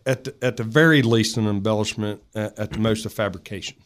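Guy with a lowish voice, sheriff answering interview questions, emphatic, official sounding. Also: fairly quick. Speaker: county sheriff being interviewed. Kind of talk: interview response.

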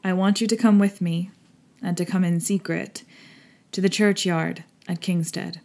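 A woman's voice: on the low side, steady tone, moderate pace, vocal fry, darkish tone. A woman acting a grave, elderly professor. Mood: solemn. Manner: solemn, earnest, formal. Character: grave, pitying elderly male professor, stern yet kind. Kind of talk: audiobook character acting.

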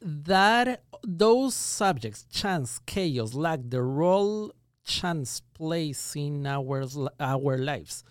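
Ecuadorian-accented man, measured and thoughtful. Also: fairly high pitch, lively intonation, clear, resonant tone, dry recording, studio quality. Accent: Ecuadorian Spanish. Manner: thoughtful, explains in measured phrases. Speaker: guest magician. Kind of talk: reflective conversational interview.

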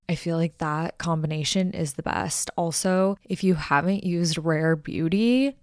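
A woman's voice: low voice, creaky, darkish tone, no echo. A woman hosting a casual solo podcast, chatting in a rambling way with lots of "like" fillers, her voice slightly nasal from allergies, on a close microphone. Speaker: podcast host.

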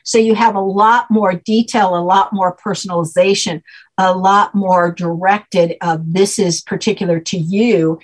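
Husky woman explaining patiently. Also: mid-range voice, fairly even intonation, unhurried, low-quality recording. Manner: patient, checks understanding with repeated "okay?". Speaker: guest teaching a name system. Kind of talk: explanatory conversation.

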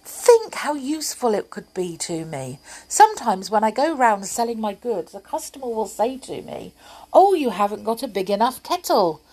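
Woman voicing old male peddler, eager, chattering, raised pitch, lively. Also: normal speed, rough recording.

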